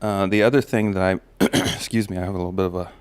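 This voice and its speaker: Man, low steady voice, smooth with slight gravel, reflective host talking, dry close sound. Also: fast talker, dry recording. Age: adult parent of a daughter. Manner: relaxed, musing, many fillers and restarts. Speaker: podcast host. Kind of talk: reflective personal monologue.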